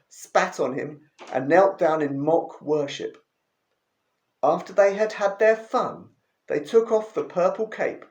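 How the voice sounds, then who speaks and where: A man reading a chapter of the Bible aloud for a church, slowly and expressively. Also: on the high side. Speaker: scripture reader. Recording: roomy echo.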